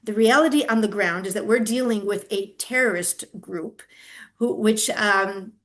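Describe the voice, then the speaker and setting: An older woman speaks earnestly as an interview guest, explaining at length. She often restarts her phrases and pauses with fillers. Her voice sits at a middle pitch. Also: fairly bright tone. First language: not Hebrew. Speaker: activist guest. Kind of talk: interview answers.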